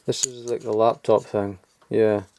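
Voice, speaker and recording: A man's voice: on the low side, little pitch movement, measured pace, warm tone, a little hiss or hum. A young man rambling casually as he shows off old collectible toys in his home loft, offhand and chuckling at himself. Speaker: toy collector vlogger. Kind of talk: casual show-and-tell.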